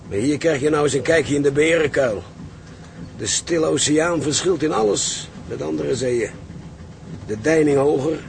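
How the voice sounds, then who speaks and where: A man acting a gruff, seasoned seaman in a Dutch radio drama, blunt and philosophical. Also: fairly high pitch, darkish tone, a little hiss or hum.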